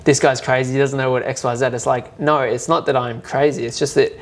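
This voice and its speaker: Young adult Australian male host, rapid casual delivery, filler-heavy. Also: medium pitch, fairly even intonation. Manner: fast, filler-heavy talk with many "like"s. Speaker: podcast host. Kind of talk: casual conversation.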